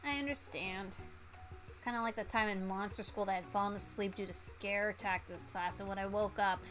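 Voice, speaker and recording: A woman's voice: mid-range voice, fairly even intonation, brisk, a bit bright, faint music behind, pitched below the performer's own voice, close to an everyday voice. A woman voicing a friendly, eager, rambling monster in a visual novel game. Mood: chatty, reminiscing. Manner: rambling, amiable, easily impressed. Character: chatty, friendly, eager spork monster named Steve.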